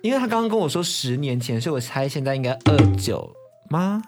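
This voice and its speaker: A man in his twenties with a Taiwanese accent co-hosting a playful, casual interview, teasing and excitable, often repeating phrases. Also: mid-range voice, fast, over loud music. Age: 20s. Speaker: radio show co-host.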